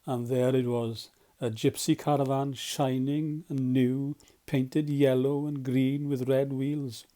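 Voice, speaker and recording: A man's voice: mid-range voice, flat delivery, takes it slowly, dark tone. A man narrating a children's storybook as a volunteer storyteller.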